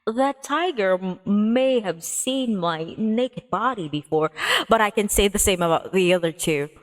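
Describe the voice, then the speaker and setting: A woman's voice: mid-range voice, lively intonation, unhurried. A woman livestreamer reading a visual novel aloud expressively, with playful casual asides. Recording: music behind the voice. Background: music.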